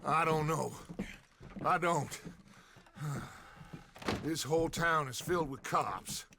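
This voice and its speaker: An actor playing a middle-aged American outlaw gang leader in a video game, with a gravelly voice and a theatrical, commanding manner. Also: male voice, a little high, takes it slowly, noisy background. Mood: grim, tense. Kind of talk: dramatic video game acting.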